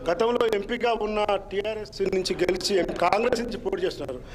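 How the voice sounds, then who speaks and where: A male news reporter. He fires off his live interview questions at a very rapid pace. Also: high voice.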